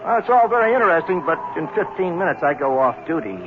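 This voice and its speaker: A man's voice: on the high side, lively intonation, quick pace, close to an everyday voice. An actor playing a breezy, sardonic patrolman in a 1970s radio mystery, speaking in a teasing, offhand way. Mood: offhand, unbothered. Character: breezy, sardonic male patrolman, casually indifferent. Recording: tinny, noisy old radio broadcast.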